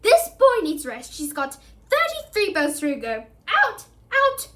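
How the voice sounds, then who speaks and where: A stern middle-aged nurse scolds her patient in a firm, high voice, as a woman reading the story puts her on. She sounds brisk, grim and impatient with foolishness. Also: darkish tone, natural voice. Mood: shouting.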